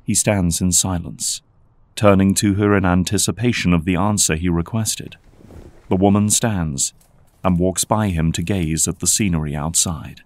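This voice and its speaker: Very deep-voiced male drama narrator. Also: moderate pace, darkish tone, no room sound.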